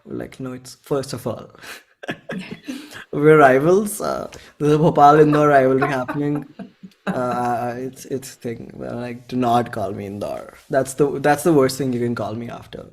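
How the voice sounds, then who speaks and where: A man in his twenties with an Indian accent, chatting casually in an interview as a musician, thinking aloud and often correcting himself. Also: mid-range voice. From central India. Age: 20s. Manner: informal, halting, thinks aloud with frequent self-corrections. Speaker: indie musician being interviewed.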